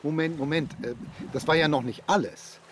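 A man's voice: a little high, normal speed, a little hiss or hum, close to an everyday voice. An actor playing a timid, hesitant foster father who stammers and evades in a children's radio play. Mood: nervous, reciting.

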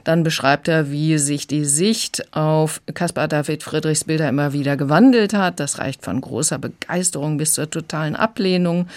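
A woman's voice: low-pitched, a little mellow. A woman explaining and reviewing as a critic in a radio conversation.

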